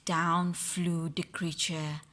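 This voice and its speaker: Very slow, expressive woman reading a storybook. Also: lowish voice. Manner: dramatic, theatrical. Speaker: storybook reader. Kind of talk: expressive children's read-aloud narration.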